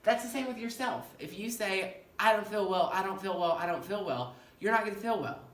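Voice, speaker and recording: A male professor with a fairly high-pitched voice, giving an animated classroom lecture and often checking in with "okay?". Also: a little fast. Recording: echoey room, mic far off, faint noise.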